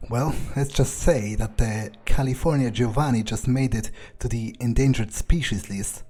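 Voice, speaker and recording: A man playing a cold, vengeful vampire obsessed with his authority, improvising deliberately and wryly in character for a game playthrough. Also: medium pitch, darkish tone, lightly acted. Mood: dry, sardonic. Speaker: gameplay roleplayer.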